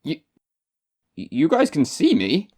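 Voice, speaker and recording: A woman's voice: deep voice, wildly swooping pitch, takes it slowly, warm tone, breathy edge, exaggerated voice. A woman playing the bewildered, aggrieved ghost of a murdered writer in an audio drama.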